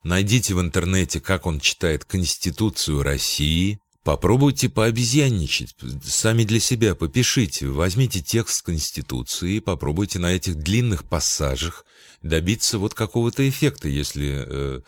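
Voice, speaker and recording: A man from Belarus, a voice-over announcer, answering interview questions thoughtfully, pausing to search for words. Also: sits low, expressive.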